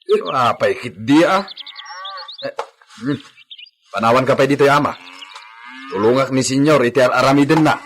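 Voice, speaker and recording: A man's voice: mid-range voice, moderate pace, long pauses, a bit bright, lightly acted. A man with a Filipino accent acting an earnest grown son who works as a ranch helper in a radio drama. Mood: earnest, confiding.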